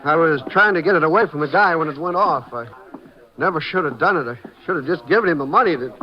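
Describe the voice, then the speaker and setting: An actor playing a folksy small-town Texas cafe owner in a 1950s radio drama, with a Texan accent. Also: male voice, on the high side, fast, natural voice. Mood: shaken, regretful. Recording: noisy, muffled old-time radio recording.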